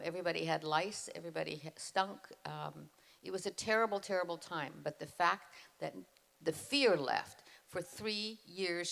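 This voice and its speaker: A woman earnestly retells her mother's wartime story at a school event, slowing to stress key phrases. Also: low voice, gravelly, fairly bright tone.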